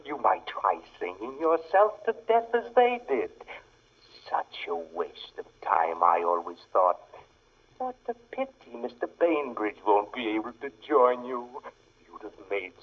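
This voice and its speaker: An actor playing a suave, gloating criminal mastermind in a 1940s radio drama, with a husky voice and a mock-polite, deliberate way of talking. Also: male voice, mid-range voice, sing-song. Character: suave gloating criminal mastermind professor, coldly amused villain. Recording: muffled phone-like vintage radio recording.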